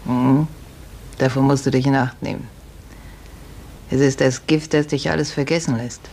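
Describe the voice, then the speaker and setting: An actress playing a kindly, resigned serf woman from the stables, soothing and unhurried, in a 1950s German radio drama. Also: extremely low pitch, steady tone, creaky, dark tone, exaggerated voice. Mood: weary, confiding. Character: motherly, weary female stable serf, resigned, kindly comforter. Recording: noisy 1950s radio recording.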